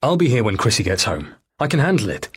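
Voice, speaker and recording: A man's voice: medium pitch, very animated, quick pace, slightly rough, no echo, studio quality, voice pushed up. A man voicing a tense, controlling husband and father, terse and insistent. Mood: defensive.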